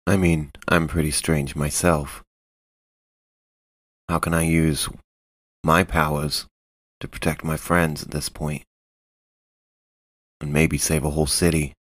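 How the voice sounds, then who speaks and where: Role-playing game part: burdened otherworldly being played by a man, extremely low, flat delivery, self-doubting. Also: slow pace, long pauses, no room sound, studio quality, full character voice. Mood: brooding, anxious. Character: strange, burdened supernatural being musing on their powers. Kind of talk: scripted in-character monologue.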